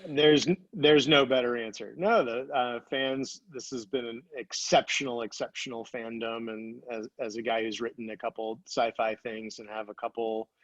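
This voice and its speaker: A man's voice: mid-range voice, fairly even intonation, normal speed, hardly any pauses, dry recording. A man speaking casually as an interview guest and writer, with slightly dull audio. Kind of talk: casual conversational interview answers.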